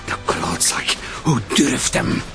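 An actor playing an enraged, defiant betrayed husband in a radio drama, Flemish accent, taunting and swearing. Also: male voice, takes it slowly, over music, natural voice. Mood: bitter rage.

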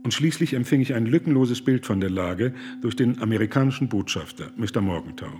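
A man's voice: medium pitch, lively intonation, a little fast, darkish tone, natural voice. A man playing an earnest, indignant German humanitarian. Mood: firm. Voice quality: earnest, accusing, pointed. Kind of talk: radio play acting.

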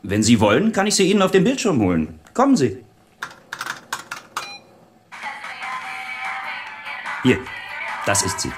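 A man's voice: medium pitch, wildly swooping pitch, a little fast, music in the background. An actor playing a cheeky, clever male technician in a German radio play, talking in a breezy, wry way. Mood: eager, hospitable. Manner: breezy, wry, playful, explaining. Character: cheeky, clever male computer-savvy emissions surveillance technician.

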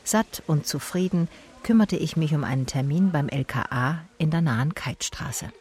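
A woman's voice: sits low, normal speed, darkish tone, natural voice. An actress playing a female private detective who narrates her case in a radio play in a matter-of-fact, laconic way.

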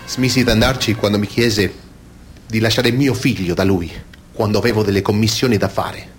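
A man's voice: fairly low pitch, steady tone, normal speed, faint music behind, close to an everyday voice. An actor playing an adult male abuse survivor, reciting grave testimony for radio theatre in a sober, restrained way.

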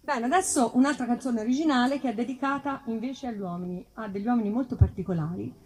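A woman's voice: fairly high pitch, dark tone, low-quality recording. A woman chatting informally into a stage microphone between songs at an outdoor concert, often interrupting herself. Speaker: singer and composer presenting a choir concert. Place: live outdoor concert in an olive grove. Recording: live outdoor concert recording.

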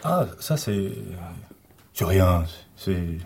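A man's voice: low voice, sing-song, very slow, a little mellow, slight background noise, heavily acted. A man playing an earnest, quirky junior police officer in a naturalistic audio drama, protesting with slight pomposity. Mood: baffled, indignant.

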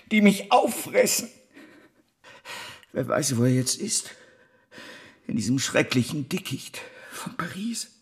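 An actor in a German radio drama playing a disgraced, imprisoned financier, ruthless, self-pitying and grandiose, in a halting theatrical way. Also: male voice, sits high, exaggerated voice. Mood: tearful, self-pitying. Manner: theatrical, halting, swinging between pleading and boasting.

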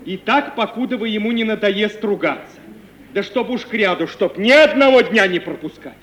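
An actor playing an anxious, lovesick young merchant's son with folksy, plaintive, grumbling speech in an old radio play. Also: male voice, high-pitched, normal speed, fairly bright tone. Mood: fretful. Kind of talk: 1950 Russian radio play acting. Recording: muffled, hissy 1950s radio recording.

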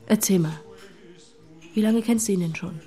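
A woman's voice: mid-range voice, moderate pace, mellow, close to an everyday voice. An actress playing a practical, matter-of-fact woman, the exasperated best friend, in radio play style. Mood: patient, skeptical. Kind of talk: German radio play acting. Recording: music underneath.

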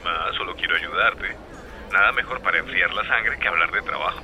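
Deep, sharp-toned Colombian charmer. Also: male voice, a little fast, muffled recording. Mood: teasing. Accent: Colombian, Bogotá. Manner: flirtatious, sweet-talking. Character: smooth-talking, lovestruck Colombian man flirting by phone. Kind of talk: radio drama acting, intimate phone conversation.

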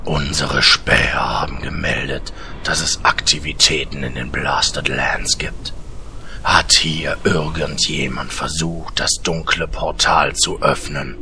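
Big grave orc warlord, super deep n gravelly, really slow, comedy audio drama. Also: male voice, fairly even intonation, a little hiss or hum, exaggerated voice. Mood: stern. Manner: slow, forceful, solemn.

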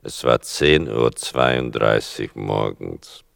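Very deep, gravelly, pedantic storytelling son. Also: male voice, fairly bright tone, no echo, studio quality, heavily acted. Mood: measured, solemn. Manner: deliberate, pedantic. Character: exacting son narrating his mother's story. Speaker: narrator. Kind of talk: radio play narration.

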